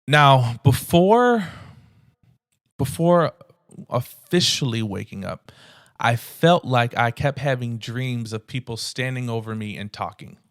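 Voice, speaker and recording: A man's voice: medium pitch, slow pace, no echo, clean studio sound. A young man hosting a podcast, telling a personal story in an earnest, conversational way with many restarts. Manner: earnest, conversational, animated retelling with frequent restarts. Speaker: podcast host.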